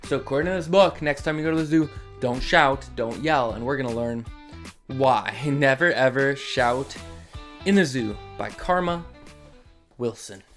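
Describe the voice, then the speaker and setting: A man's voice: medium pitch, measured pace, a bit bright, faint music behind. A man hosting a read-along for children, reading aloud expressively with dramatic emphasis and chatty asides to young listeners. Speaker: host of a children's read-along story series.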